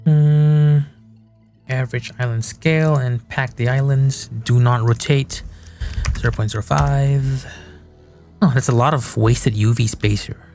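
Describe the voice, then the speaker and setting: A man's voice: medium pitch, fairly even intonation, unhurried, darkish tone, faint music behind. A young man from Malaysia, whose first language is Malay, chatting loosely on a game-dev live stream and thinking aloud with restarts. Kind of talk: casual live-stream chat while working.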